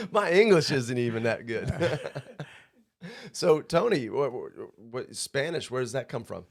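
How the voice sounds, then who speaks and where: A man's voice: medium pitch, lively intonation, slow pace, vocal fry, pauses often. A man hosting a casual surf podcast in a studio, upbeat and chatty, laughing often. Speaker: podcast host.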